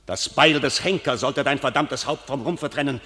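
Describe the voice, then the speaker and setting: A man's voice: mid-range voice, normal speed, sharp tone, close to an everyday voice. A man playing a wrathful proud duke. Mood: scornful. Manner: furious ringing declamation of verse. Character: proud noble male duke, wrathful warrior. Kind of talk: classic radio play acting.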